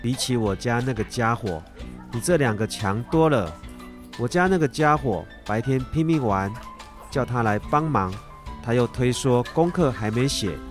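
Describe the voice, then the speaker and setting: A man's voice: mid-range voice, animated, normal speed, soft background music, close to an everyday voice. An amateur actor, a man, playing a friendly middle-aged night-market vendor in a children's radio drama. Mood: chatty, complimentary.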